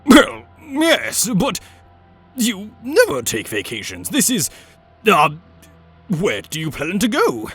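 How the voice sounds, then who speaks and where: A fussy, high-voiced older official. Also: male voice, wildly swooping pitch, slow pace, a bit bright, husky, soft background music, exaggerated voice. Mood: flustered. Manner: hesitant, formal, flustered.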